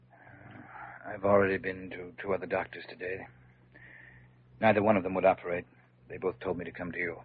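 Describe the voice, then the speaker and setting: An actor playing an uneasy, grudging swindler in a vintage radio drama, with a husky voice. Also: male voice, sits low, flat delivery, normal speed, pauses often, phone-quality sound. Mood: defeated. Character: uneasy, grudging male swindler facing illness. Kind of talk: 1940s-50s radio drama acting.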